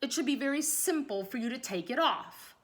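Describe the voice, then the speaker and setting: A woman's voice: high-pitched, animated, fairly quick, voice pushed up. A woman voicing a commanding, blustering king in a children's storybook, with emphatic, projected delivery. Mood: confident. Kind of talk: children's storybook read aloud.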